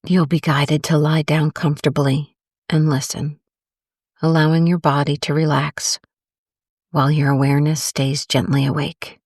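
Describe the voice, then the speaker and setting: A woman guiding a meditation in a gentle, calm, unhurried way with long pauses between phrases. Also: low-pitched, breathy edge, no room sound, studio quality. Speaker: guided meditation host. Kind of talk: soothing guided meditation narration.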